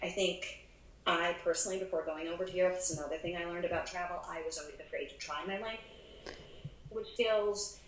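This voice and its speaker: A woman's voice: on the low side, fairly even intonation, brisk. An American woman chats about travel in a loose, laughing way, often restarting her sentences. Her voice echoes around the room, with a faint hiss of background noise behind her. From United States. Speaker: travel advisor, podcast guest. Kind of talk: casual podcast chat.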